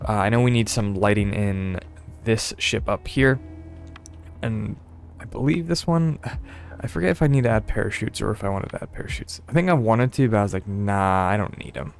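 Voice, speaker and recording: A man's voice: lowish voice, normal speed, creaky, slight background noise. A young man streaming a building game, talking in a casual, rambling way with many fillers and a slightly stuffy voice. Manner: rambling, hesitant, frequent laughs and fillers. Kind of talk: casual gameplay commentary.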